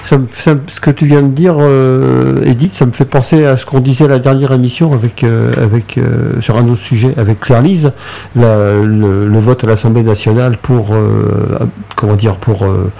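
A man's voice: mid-range voice, steady tone, moderate pace, vocal fry, mellow. A man hosting a radio discussion show, hesitant and searching for words. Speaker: radio host.

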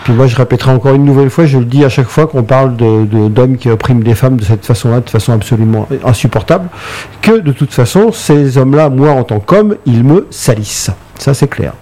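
A man's voice: medium pitch, fairly quick, dark tone. A man hosting a radio discussion show, hesitant and searching for words. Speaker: radio host.

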